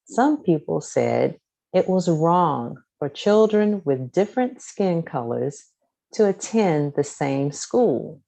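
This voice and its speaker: Low, mellow woman reading a storybook. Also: sing-song, takes it slowly, creaky. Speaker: storybook reader. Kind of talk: scripted children's story read-aloud.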